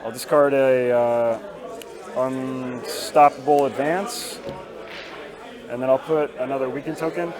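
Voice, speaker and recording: A hoarse-voiced man talking casually as he plays a tabletop wargame. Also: medium pitch, fairly even intonation, unhurried, long pauses. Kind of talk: casual game talk. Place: game store during a tournament. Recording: busy game store crowd behind.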